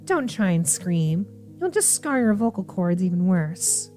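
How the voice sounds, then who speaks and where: A soft-spoken young female killer , played by a woman reading a visual novel, menacing and coldly obsessive. She talks slowly and deliberately, with music faintly underneath. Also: lowish voice, sing-song, pitched below the performer's own voice.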